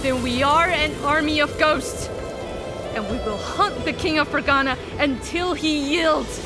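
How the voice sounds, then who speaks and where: A steely female general, played by a woman, speaks in a high, commanding voice over clear background music. She declaims like an unyielding leader of soldiers in an audio drama. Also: measured pace. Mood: rousing, defiant.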